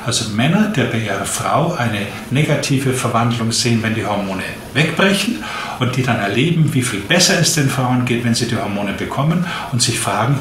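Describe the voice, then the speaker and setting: A man in his late fifties giving measured explanations as a doctor being interviewed. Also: medium pitch, fairly bright tone. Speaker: interviewed physician. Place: small indoor room, seated at a desk. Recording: echoey room with clear background noise.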